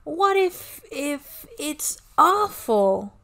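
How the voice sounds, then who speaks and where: Anxious small boy for a storybook, played by a woman, high and swooping, slow delivery. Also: big pitch swings, sharp tone, heavily acted. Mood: worried. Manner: worried, questioning. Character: anxious, reluctant little boy. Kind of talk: children's storybook read-aloud.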